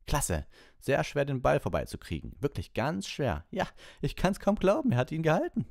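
A man voicing an excitable, partisan schoolboy sports commentator in a storybook, with breathless, exclamatory play-by-play. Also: on the high side, moderate pace, creaky, no echo, clean studio sound, pitched above the performer's own voice, full character voice. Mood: thrilled, partisan.

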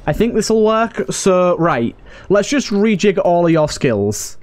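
Higher-pitched man doing game commentary. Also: sing-song, slow, darkish tone. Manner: mutters to himself while thinking through moves. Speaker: gaming video host. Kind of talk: casual let's play commentary. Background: game music.

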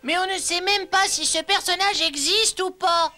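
A woman's voice: extremely high pitch, moderate pace, heavy background noise. A woman voicing an earnest, sceptical young princess in a cartoon dub.

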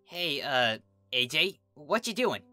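A reader acts out a young female cartoon pegasus for a storybook. She is brash and casual but easily flustered, stammering in a bright, lively voice over music. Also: mid-range voice, slow pace, full character voice. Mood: awkward, nervous. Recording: music behind the voice.

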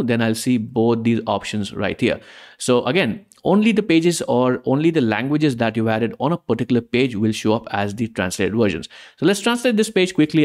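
A young man whose first language is Hindi walks viewers through a screen-recorded software tutorial. He talks casually and explains as he goes, often pausing with fillers and restarting sentences, in a voice of ordinary pitch.

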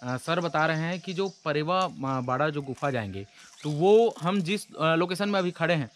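A man in his thirties talking to viewers as a travel vlogger while riding and walking through a dry forest, lively and direct. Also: on the high side, moderate pace. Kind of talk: casual travel vlog narration. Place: outdoors in a dry forest, riding pillion and hiking. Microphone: action camera on a bike and handheld. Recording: outdoor wind and ambient noise. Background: forest ambience and motorbike noise.

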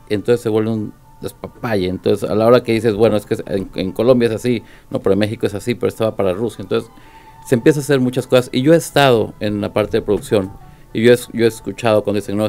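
A low, gravelly man speaks deliberately as a guest on a studio radio panel. Faint music sits behind his voice. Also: darkish tone. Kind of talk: panel discussion.

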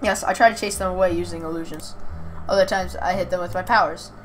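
A woman's voice: lowish voice, steady tone, quick pace, soft background music, close to an everyday voice, poor audio. A woman voicing a proud, irritable young witch cat-girl in a visual novel, with a haughty, scolding manner. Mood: earnest.

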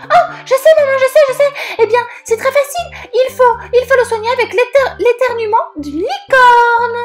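A woman's voice: very high voice, normal speed, faint music behind, voice pushed up. A woman doing an eager little girl fairy. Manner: excited, repeats herself.

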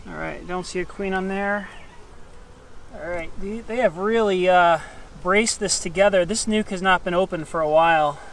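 Man, high voice, calm working narration, outdoor farm clip, faint buzzing behind. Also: normal speed, slight background noise. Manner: talks to himself while working, calm. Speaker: beekeeper. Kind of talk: casual hands-on narration.

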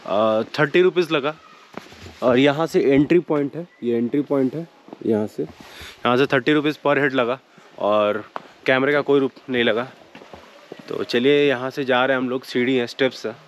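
A man's voice: medium pitch, moderate pace, a little hiss or hum. A man vlogging his trip in a casual, chatty way, mixing in English exclamations as he walks outdoors and into a cave. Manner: chatty, mixes English phrases, excited exclamations. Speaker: travel vlogger. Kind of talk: casual travel vlog narration. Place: outdoors and inside a limestone cave.

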